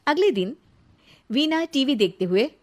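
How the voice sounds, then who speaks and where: Female narrator for a scripted animated family story, linking the scenes. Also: sits high, measured pace.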